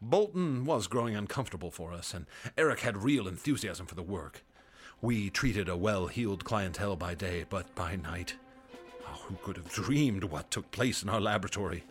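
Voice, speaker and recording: A haunted doctor narrating. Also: male voice, low voice, normal speed, soft background music. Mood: grave. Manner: earnest, grave narration, excitable in scenes. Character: frightened male doctor assistant and narrator. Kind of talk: radio drama narration.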